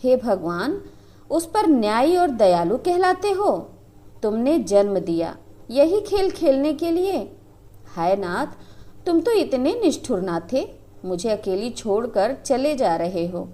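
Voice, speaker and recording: A proud young village wife, faithful and fiery, her speech rising high and falling with feeling as she lingers over each phrase, as a woman storyteller puts her on. it sounds like the narrator's own voice with more heat in it. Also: sing-song, slow pace, warm tone. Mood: grieving. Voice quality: impassioned, lamenting.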